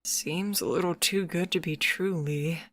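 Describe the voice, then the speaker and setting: A woman's voice: fairly low pitch, steady tone, unhurried. A woman voicing a sarcastic, anxious young transfeminine friend, sceptical and a little whiny, in an audio story.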